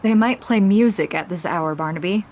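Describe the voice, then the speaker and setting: A woman's voice: on the low side, normal speed, darkish tone, slight background noise, close to an everyday voice. A woman playing a composed investigator with a dry, understated way of talking.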